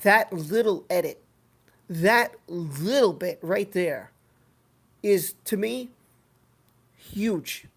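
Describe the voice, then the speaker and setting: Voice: medium pitch, expressive, very slow, gravelly, pauses often, slightly rough. A live stream host chatting casually and reading out viewer comments, rambling and repeating phrases for emphasis.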